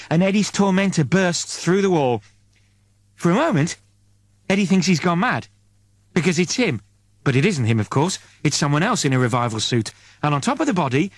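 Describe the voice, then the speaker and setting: A man reading an audiobook as a dramatic, suspenseful narrator in deliberate short phrases. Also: fairly high pitch, no room sound.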